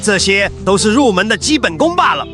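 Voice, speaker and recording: An actor playing an earnest, admiring young sect disciple in an animated drama, formal and reverent. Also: male voice, high-pitched, brisk. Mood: proud. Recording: music behind the voice.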